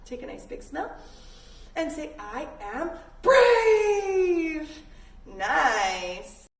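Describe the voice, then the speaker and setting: High-voiced woman teaching kids' yoga, echoey room. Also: big pitch swings, very slow. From Canada. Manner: encouraging, simple step-by-step instructions to children. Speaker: children's yoga instructor. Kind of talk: guided kids' yoga class following a story. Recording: echoey room, distant mic, background noise.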